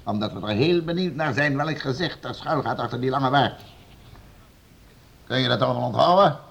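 Husky, stern, domineering nobleman. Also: medium pitch, moderate pace, fairly bright tone. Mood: scornful, threatening. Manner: commanding, scornful, emphatic. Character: stern, domineering male nobleman, bullying and sure of himself. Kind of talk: radio play acting.

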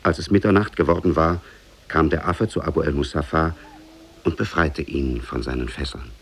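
A man narrating an Oriental fairy tale in a measured way, as in a 1950s German radio play. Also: very deep voice, gravelly, husky. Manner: measured, storytelling.

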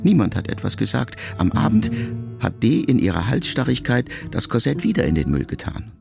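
An actor playing a middle-aged writer son who addresses his mother in a measured, introspective, quietly reproachful radio monologue. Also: mid-range voice, sing-song, gravelly, loud music behind the voice. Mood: wry, rueful. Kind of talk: literary monologue in a radio play.

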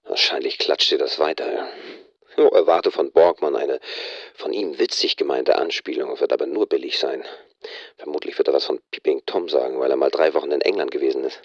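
A secretive, suspicious man in a radio drama monologue. His low, husky voice mutters and often whispers in clipped telegraphic notes. The sound is dry, without any room. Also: fairly quick, no echo, dull audio. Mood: wry, irritated.

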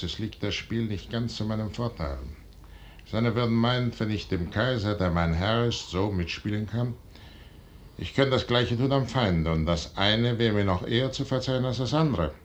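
An actor playing a proud, brooding middle-aged general and duke in grave, declamatory classical German verse. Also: male voice, fairly low pitch, animated, slight background noise, natural voice. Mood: calculating, proud. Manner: grave, declamatory, deliberate, with hushed passages. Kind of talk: classical German stage verse, radio drama acting.

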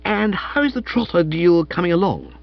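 A man voicing a chatty, foppish young English gentleman in an audiobook, with florid upper-class slang. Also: high voice, animated, normal speed, creaky, dull audio, pitched above the performer's own voice. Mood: tactful. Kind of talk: audiobook character voice.

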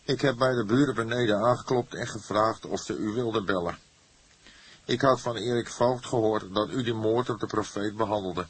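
A man's voice: fairly low pitch, moderate pace, slightly rough, voice pushed up, rough recording. A man voicing an agitated, defiant young murder suspect in an audiobook, speaking emphatically and with emotion. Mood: upset, explaining. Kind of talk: acted audiobook dialogue.

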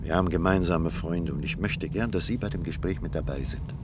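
A man acting an earnest, troubled doctor in a German radio play, speaking formally and with measured insistence. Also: deep voice, noisy background, natural voice. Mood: earnest, resolute. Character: earnest, troubled male doctor, firm and resolute. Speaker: actor in radio drama.

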